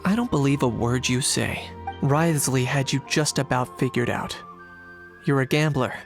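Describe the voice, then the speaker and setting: A cool young adventurer in a game cutscene, with a mid-pitched voice. He is terse, dry and sceptical, delivering everything deadpan. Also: moderate pace, gravelly, soft background music. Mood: cold, sceptical. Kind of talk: dubbed video game cutscene acting.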